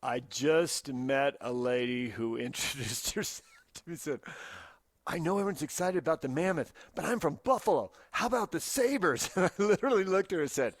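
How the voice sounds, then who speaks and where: Higher-voiced man, opinionated radio talker. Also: lively intonation, fairly quick, dry recording. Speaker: sports talk radio co-host. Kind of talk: casual sports talk. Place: radio studio. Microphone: close studio broadcast mic.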